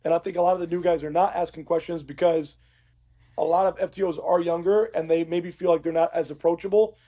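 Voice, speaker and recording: A man with a raspy, hoarse voice chatting casually and recounting his work as a police instructor. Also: fairly high pitch, steady tone, fast talker, mellow. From Uruguay. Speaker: podcast guest, police academy instructor. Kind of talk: casual conversational storytelling.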